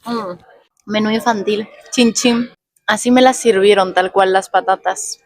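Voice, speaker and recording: A young woman with a Spain Spanish accent, half hoarse, chatting casually while reviewing food for her vlog. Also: medium pitch, fairly even intonation, a little mellow, slight background noise. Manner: chatty, playful, quick asides. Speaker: food vlogger. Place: fast food restaurants and the street outside.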